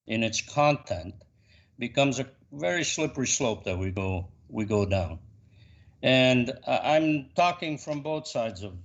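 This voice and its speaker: A man, a school board trustee, arguing a point in a formal meeting in a loud, insistent voice over a video call. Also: mid-range voice, vocal fry, dull audio.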